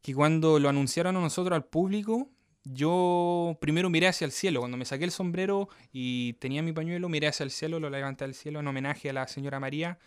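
A man in his thirties, raised in Australia by Chilean parents, talks warmly as an interview guest. His voice is smooth and clear and sits a little high. It is recorded close and dry. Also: fast talker, dry recording. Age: 30s. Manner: warm storytelling, often asks "¿me entiendes?". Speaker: cueca dancer guest. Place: Melbourne.

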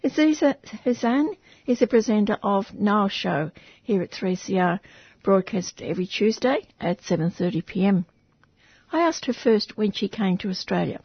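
A woman's voice: medium pitch, animated, moderate pace, husky, no room sound, lo-fi sound. A woman presenting a community radio interview, asking short prompting questions.

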